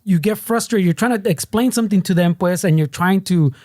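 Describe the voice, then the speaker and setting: A man's voice: high voice, a little fast, darkish tone, dry recording, studio quality. A man hosting a casual podcast chat, rambling and restarting as he switches between Spanish and English and swears freely. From United States. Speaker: podcast host.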